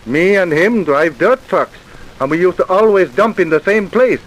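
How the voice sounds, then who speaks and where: A man's voice: sits high, expressive, moderate pace, low-quality recording. A man playing an evasive, colloquial truck driver turned informer in an old radio drama. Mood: evasive, grudging. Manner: colloquial, evasive, working-class plainness. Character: wary, wheedling male dirt-truck driver turned informer. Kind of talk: vintage radio crime drama acting. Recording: hissy old radio recording.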